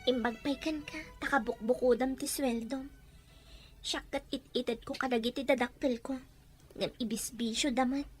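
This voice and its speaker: A woman's voice: high voice, fairly even intonation, normal speed, soft background music, close to an everyday voice. A woman playing an upset grown daughter who supports her parents, in an Ilocano radio drama, with an Ilocano accent. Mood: subdued, worried.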